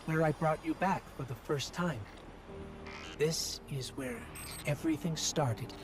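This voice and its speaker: Small loyal robot companion for a game, male actor: earnest, measured, slightly high, over noisy ambience. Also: natural voice. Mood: reverent, awed. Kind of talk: video game cutscene acting.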